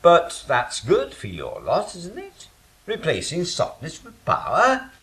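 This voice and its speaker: A man playing a gruff, scheming leader of an invading army who speaks in a sly, teasing, knowing way. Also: on the high side, wildly swooping pitch, slow, fairly bright tone, heavily acted.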